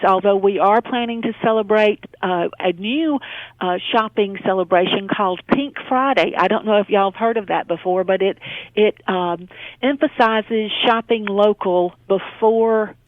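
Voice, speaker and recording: A woman giving information as a guest in a radio interview, pausing often mid-sentence in a hesitant way. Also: mid-range voice, no room sound. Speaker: radio interview guest, local development organisation president. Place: remote call into a radio show. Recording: phone-like narrow band, dry.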